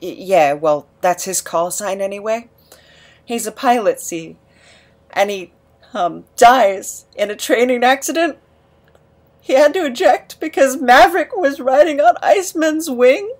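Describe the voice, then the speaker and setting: A woman playing an awkward, emotional misfit in an audio drama, hesitant and stammering, quick to put themselves down. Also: on the high side, lively intonation, darkish tone. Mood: nervous, sheepish. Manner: hesitant, stammering, self-deprecating. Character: awkward, emotional, rambling misfit named Nap.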